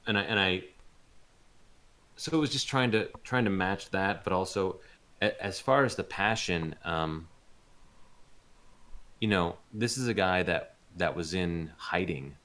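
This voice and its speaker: A man chatting casually as a voice actor being interviewed, thoughtful and halting as he searches for words. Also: low-pitched, a bit bright.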